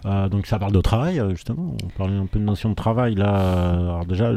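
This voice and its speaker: A man's voice: lowish voice, fast talker, dark tone, no echo. A man hosting a radio interview, asking loose, joking questions. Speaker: radio host.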